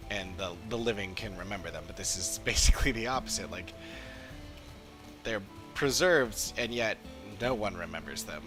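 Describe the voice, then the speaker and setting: Male streamer, lowish pitch, casual and halting delivery, game music clearly behind. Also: animated. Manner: halting, searching for words. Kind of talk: casual livestream commentary.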